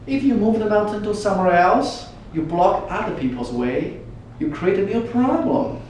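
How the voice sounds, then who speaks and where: A man acts out an old grandmother from a folk tale, chiding and objecting. The voice jumps up high and sounds distant in a very echoey room. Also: lively intonation, moderate pace, darkish tone. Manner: scolding, objecting. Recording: echoey room from far off, faint noise.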